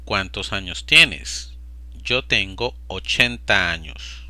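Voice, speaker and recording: A man slowly and deliberately repeating short phrases for language learners. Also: deep voice, expressive, bright, forceful tone, no echo. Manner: slow, deliberate, evenly paced. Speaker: Spanish teacher. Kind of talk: slow repetition drill for learners.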